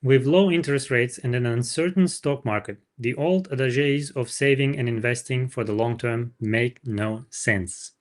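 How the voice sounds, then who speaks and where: A man's voice: medium pitch, moderate pace, few pauses. Man reading a book aloud. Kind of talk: book read-aloud with informal asides.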